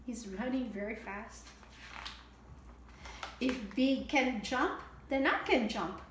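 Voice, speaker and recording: A woman's voice: on the high side, slow pace. A middle-aged woman hosting story time, reading a picture book aloud expressively and explaining things simply for young children. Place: indoor home room with table. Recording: echoey room with audible background noise.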